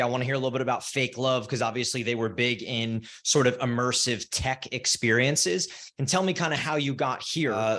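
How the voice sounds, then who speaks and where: A man's voice: mid-range voice, a bit bright. A man hosting a podcast interview, encouraging his guest with short agreeing replies and open questions. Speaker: podcast host.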